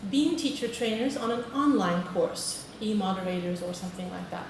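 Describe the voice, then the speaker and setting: A woman's voice: medium pitch, animated, moderate pace. A woman from Britain, a teacher trainer giving a friendly conference talk and polling the audience. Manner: friendly, polls the audience, frequent "okay". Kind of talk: conference presentation. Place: large conference hall. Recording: echoey hall, distant mic, noisy.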